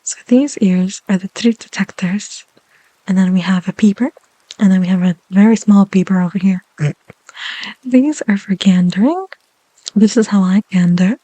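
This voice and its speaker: A playful pet dog obsessed with treats, voiced by a woman in a hushed unvoiced whisper. The tone is mellow and dry, with no room around it. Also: mid-range voice, no room sound, lightly acted, low-quality recording. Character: cheerful treat-loving male pet dog.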